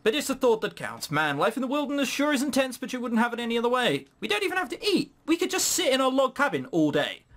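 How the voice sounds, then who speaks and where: A man's voice: extremely high pitch, sing-song, fast talker, hardly any pauses, fairly bright tone. A man hosting a gaming video, reading game text aloud and commenting on it casually with sarcastic, incredulous, rambling asides.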